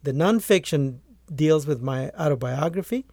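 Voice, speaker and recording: Gravelly man from Guatemala. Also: fairly high pitch, expressive, moderate pace, darkish tone, no room sound, studio quality. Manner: earnest, restarts phrases often. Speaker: poultry farmer and author. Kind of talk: conversational interview answers.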